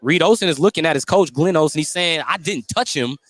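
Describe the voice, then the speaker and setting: Male sports play-by-play announcer, voice a bit on the high side, live from a gym, clipped and detailed. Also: fairly quick, a bit bright, dry recording. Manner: detailed, clipped running call of each play. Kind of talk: live radio sports commentary. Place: indoor high school gymnasium.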